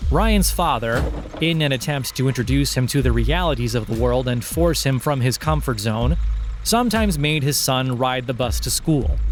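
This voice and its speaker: A man reads a scripted satirical story as a podcast narrator, measured and deliberate, with wry irony. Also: mid-range voice. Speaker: narrator of an audio fiction podcast.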